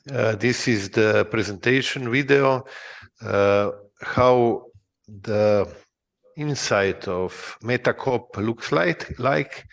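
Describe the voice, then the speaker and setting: A man walks listeners through a software demo, halting often on fillers. He speaks very slowly, and his voice has a gravelly fry. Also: mid-range voice. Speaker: presenter. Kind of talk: recorded product walkthrough.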